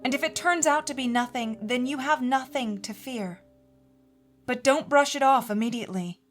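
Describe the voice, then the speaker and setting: High-pitched actress as a middle-aged mother, haunted, earnest and confiding, measured horror podcast narration, music underneath. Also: close to an everyday voice. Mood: sober, confiding. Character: haunted mother in her forties recounting horror. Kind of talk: first-person horror story narration.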